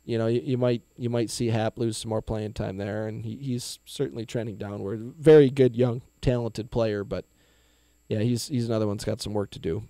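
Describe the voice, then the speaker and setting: A man, a little raspy today, co-hosting a casual baseball podcast in a laid-back way. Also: fairly even intonation, normal speed, dark tone, no room sound. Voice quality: baritone. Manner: laid-back, easy laughs. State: slightly raspy from singing. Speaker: podcast co-host. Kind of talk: casual sports podcast chat.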